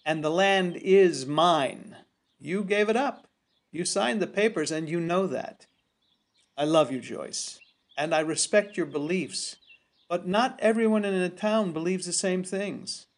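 An actor playing a mild but stubborn middle-aged landowner in a radio play, plainspoken and placating. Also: male voice, sits high, unhurried. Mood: firm, pleading. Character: mild, stubborn small-town landowner, a reasoning brother.

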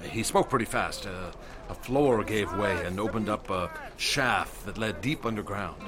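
An actor playing a curious, confident celebrity magician with a breezy, hearty manner in a radio drama. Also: male voice, lowish voice, moderate pace, noisy background, natural voice.

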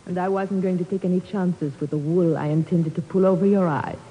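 A woman's voice: lowish voice, fairly even intonation, brisk, lightly acted. An actress playing a cold, mocking female spy chief in a 1950s radio drama, taunting and imperious. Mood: cold, triumphant. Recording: reverberant, dull old radio recording.

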